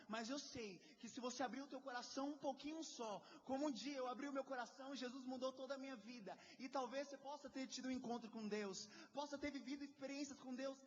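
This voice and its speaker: A man in his twenties, a lay preacher, urging a prayer group fervently and calling on the crowd again and again. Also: very high voice. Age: 20s. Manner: fervent, urges the crowd with repeated calls. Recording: dull lo-fi sound with long hall reverb.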